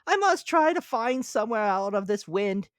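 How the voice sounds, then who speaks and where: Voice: medium pitch, sing-song, normal speed, no room sound, clean studio sound, voice pushed up, natural voice. A storyteller voicing a carefree, flighty female grasshopper in a storybook fable, with exclaiming, theatrical delivery. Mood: whimpering, desperate. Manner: exclaiming, theatrical, sing-song. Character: carefree, flighty female grasshopper, dismissive then pitifully remorseful. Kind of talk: dramatic storybook character reading.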